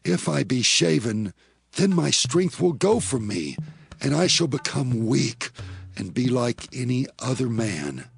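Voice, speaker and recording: A man playing an anguished, mighty biblical strongman in a dramatized scripture reading, with solemn, deliberate delivery. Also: medium pitch, very animated, creaky, slightly rough, no echo, heavily acted. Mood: solemn, confiding. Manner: solemn, deliberate, archaic phrasing.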